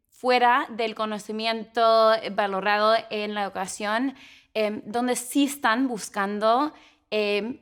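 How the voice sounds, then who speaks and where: A young adult woman, a doctoral student, speaking earnestly in an academic conversation with fillers and small self-corrections. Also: fairly high pitch.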